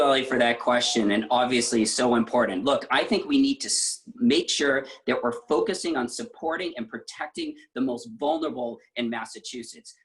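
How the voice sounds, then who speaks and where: A man's voice: fairly high pitch, fairly bright tone, poor audio. A man answering as a congressional candidate at a political forum, emphatic and point by point.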